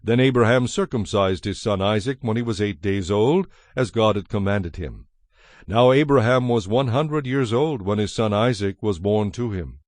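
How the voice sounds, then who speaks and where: A man giving a measured, solemn narration of scripture as the narrator of a dramatized production. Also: fairly low pitch, dry recording. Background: soft music.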